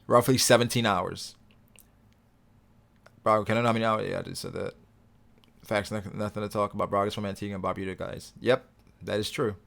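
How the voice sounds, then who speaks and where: A young man with a fairly low voice hosts a laid-back livestream, reading out chat comments and answering them as he goes. He rambles a little and keeps the tone easygoing. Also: a little fast, vocal fry, long pauses. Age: young adult. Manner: relaxed, rambling, reads viewer comments aloud.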